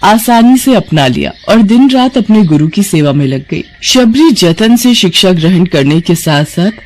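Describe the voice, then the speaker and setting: A woman's voice: mid-range voice, expressive, normal speed, a little mellow. A woman narrating a scripted devotional story.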